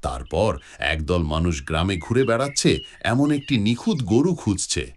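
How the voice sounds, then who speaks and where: A man's voice: low-pitched, normal speed, slight background noise. A man voicing a kindly old grandfather who tells moral stories expressively in a children's cartoon. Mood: suspenseful storytelling. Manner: expressive, instructive.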